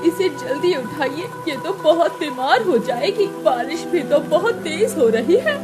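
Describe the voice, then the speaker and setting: Woman acting a high-voiced, fussing village wife. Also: lively intonation, measured pace. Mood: concerned. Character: caring, indignant village wife in a moral story. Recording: loud music bed under voice.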